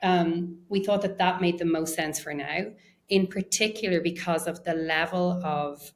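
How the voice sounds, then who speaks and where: A woman's voice: fairly low pitch, measured pace. A woman speaking as an executive guest in an interview, explaining conversationally and thinking aloud with hedged phrasing. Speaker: interview guest, research and data science executive.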